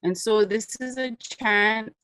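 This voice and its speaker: A woman's voice: fairly high pitch, takes it slowly. A woman from Hawaii, a botanist, giving an earnest academic lecture with slides. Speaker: botanist presenting research.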